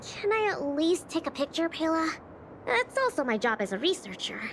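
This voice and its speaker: An actress playing a shy, curious teenage girl researcher in an anime-style game, hesitant and soft-hearted, often trailing off. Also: very high-pitched, sing-song, normal speed, background noise, heavily acted. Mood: hesitant, pleading.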